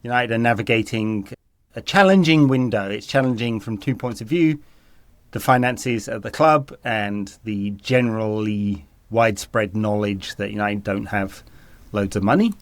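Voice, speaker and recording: A man co-hosting a football podcast, chatting casually with a slight cold that makes him a little raspy, often rambling and correcting himself. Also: lowish voice, moderate pace, no echo. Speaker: podcast co-host.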